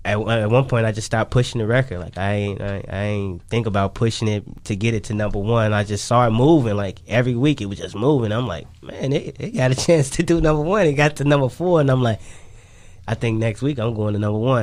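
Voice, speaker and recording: A man's voice: lowish voice, fast talker, darkish tone. A man, talking casually and in slang as an interview guest, often repeating phrases for emphasis. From Chicago, United States. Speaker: guest, independent singer and songwriter. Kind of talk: casual radio interview chat.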